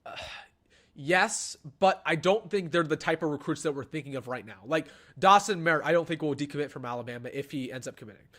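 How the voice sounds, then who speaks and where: A young man talks casually on a live stream, pitched a touch high for a man. He is chatty and animated, often stumbling and restarting as he answers questions from chat. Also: fairly quick. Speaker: sports channel host.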